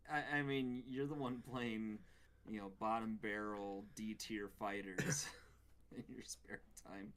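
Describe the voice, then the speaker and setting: A man speaking as a co-host in a casual review chat, giving measured, hedged opinions. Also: lowish voice, steady tone, fairly bright tone. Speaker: gaming show co-host.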